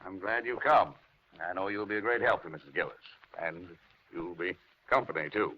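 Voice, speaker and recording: An actor playing a worried, protective, good-natured lodger in a 1950s radio drama. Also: male voice, deep voice, moderate pace, natural voice. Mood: relieved, amused. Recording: dull, noisy 1950s radio recording.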